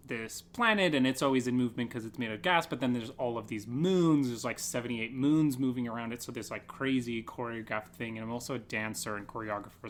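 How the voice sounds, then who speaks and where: A man chatting casually as a theatre artist interviewed on a podcast, with an enthusiastic, playful manner. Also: mid-range voice. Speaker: guest, theatre artist and choreographer.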